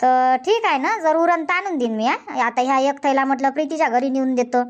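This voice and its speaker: Busy, folksy village auntie for cartoon comedy, played by a woman: high-pitched, talkative, repetitive, Varhadi Marathi accent. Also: fairly quick, natural voice. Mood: chatty, bustling. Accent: Varhadi Marathi from Vidarbha.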